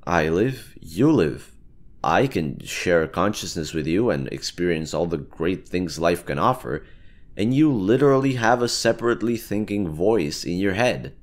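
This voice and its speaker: A man's voice: low-pitched, lively intonation, unhurried. A man voicing a chatty brain-eating amoeba who bargains persuasively and explains things casually in a one-sided audio roleplay.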